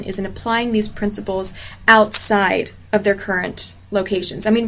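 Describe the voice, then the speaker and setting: A woman who tutors people for quality certification exams, explaining her points earnestly and emphatically with repeated examples. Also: medium pitch. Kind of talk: explanatory monologue. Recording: slightly muffled lo-fi audio with faint hiss.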